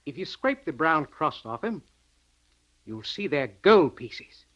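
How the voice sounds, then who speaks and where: Earnest, lively-voiced naval subordinate. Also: male voice, fairly high pitch, takes it slowly, full character voice. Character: eager, deferential naval chief petty officer. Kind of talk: 1940s radio acting. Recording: dull old radio recording.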